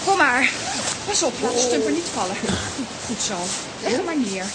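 A woman playing a plucky, bossy young girl in a children's audio drama, brisk and scolding. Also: fairly high pitch, sing-song. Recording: noisy audio with rain behind.

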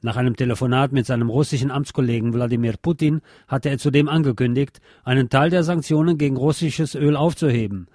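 A man's voice: mid-range voice, fast talker, few pauses, darkish tone, no echo. A man hosts with scripted political commentary, in a mid-range voice. He drops sardonic asides into the reading. The sound is dry, with very little room in it. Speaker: host.

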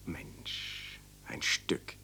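An actor playing a sceptical, down-to-earth friend in radio drama dialogue, dry and dismissive. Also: male voice, extremely slow, pushed and bright, full character voice. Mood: incredulous, dismissive.

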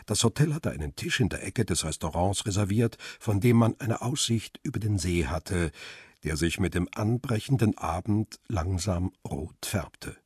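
A man's voice: deep voice, lively intonation, moderate pace, a little mellow, husky, dry recording, clean studio sound, exaggerated voice. An actor playing a world-weary middle-aged paparazzo in a crime radio play, reflective and understated as he tells his story. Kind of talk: radio drama, first-person narration and dialogue.

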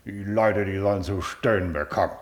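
A man's voice: low-pitched, fairly quick, close to an everyday voice. A man playing a pompous, self-important Prussian baron and district official in a German radio play, officious and commanding, hemming and hawing as he interrogates. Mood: sinister, contemptuous.

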